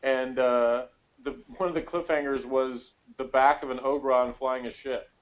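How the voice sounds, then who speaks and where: A man's voice: medium pitch, steady tone, measured pace, highs cut off. A man chatting casually as co-host of a sci-fi TV review, rambling and searching aloud for details. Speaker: podcast co-host.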